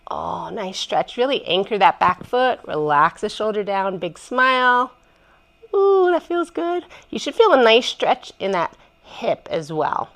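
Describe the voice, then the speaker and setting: A woman with a cold and a stuffy, congested voice, leading a gentle home yoga class with soft, encouraging cues and contented sighs. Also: medium pitch, big pitch swings, measured pace. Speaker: yoga instructor. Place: messy living room at home.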